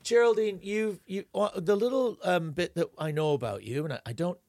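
A man's voice: high-pitched, a little fast, a little mellow, no room sound, studio quality. Rambling enthusiastic male podcast host. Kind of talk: casual podcast chat.

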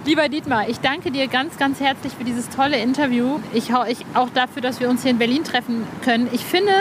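A woman hosting a podcast in a casual, chummy, informal way, with a slightly husky voice. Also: high voice, brisk, background noise. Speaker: podcast host. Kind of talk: casual chat and podcast presenting.

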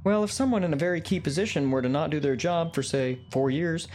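A man's voice: a little high, fairly quick, a little mellow, a little hiss or hum, lightly acted. A man playing a polite, genteel pit boss in a comedic fantasy audio drama, with formal, theatrical phrasing. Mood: prim, officious. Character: chatty, polite male pit boss guiding new hires.

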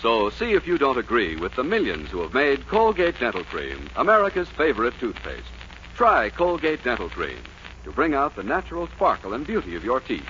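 A man's voice: mid-range voice, wildly swooping pitch, normal speed, bright, forceful tone. A man reading a scripted 1940s radio advertisement with an emphatic sales delivery. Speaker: commercial announcer. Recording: muffled 1940s radio, faint hiss.

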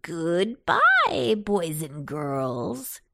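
A woman's voice: lowish voice, huge pitch swings, very slow, vocal fry, pushed and bright, no echo, studio quality, voice pushed down, exaggerated voice. Woman voicing a thick, throaty, cheeky runaway pumpkin. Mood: gleeful, airy. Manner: laughing, calling out airily. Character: runaway Halloween pumpkin, male, cheeky, airy, boastful ringleader. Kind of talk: children's storybook character voice.

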